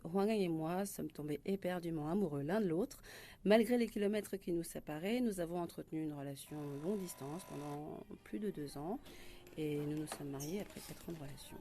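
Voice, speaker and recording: A woman's voice: fairly low pitch, warm tone. A woman in her thirties from France, telling her own story in a reflective way with some hesitations. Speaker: interviewee. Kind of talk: personal testimony.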